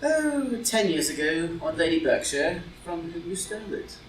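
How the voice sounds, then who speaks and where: A witty gentleman dandy, acted by a man for an audio stage drama, with lively, swooping intonation. He is dry, cool and commanding. The voice sits a little away from the mic in a roomy space. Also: on the high side, normal speed. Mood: accusing. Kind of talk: live group reading of a stage play as audio drama. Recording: roomy, distant group reading with faint music.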